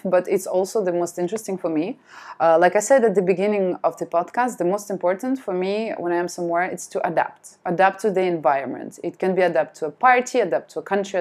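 A woman whose first language is Russian, chatting as a podcast guest in a lively, self-deprecating way. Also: on the low side. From France. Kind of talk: casual chat.

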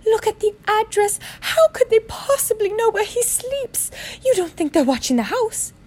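Storybook aunt: middle-aged, fretful, very high quivering voice, gasping with panic. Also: female voice, slow pace, a little mellow. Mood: anxious, quivering.

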